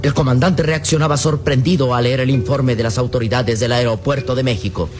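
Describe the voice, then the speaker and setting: A man's voice: medium pitch, steady tone, fairly quick. A man narrating a radio serial from a script in a solemn, emphatic, suspenseful manner. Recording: loud music behind radio narration.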